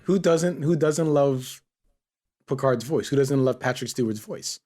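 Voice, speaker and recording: A man's voice: mid-range voice, a little slow, a little mellow. A man hosting a casual group chat, teasing and bantering playfully with his co-hosts. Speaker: podcast host.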